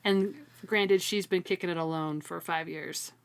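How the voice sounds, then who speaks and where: A woman's voice: on the low side, fairly even intonation, unhurried, vocal fry, clear, resonant tone. A woman co-hosting a casual book discussion, talking in a slangy way and repeating words for emphasis. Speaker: podcast co-host.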